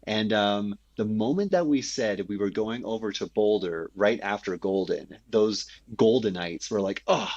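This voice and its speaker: A man's voice: on the low side, measured pace, few pauses, darkish tone, highs cut off. A man hosting a podcast, eager and playful, asking his guest questions in casual chat. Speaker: podcast host.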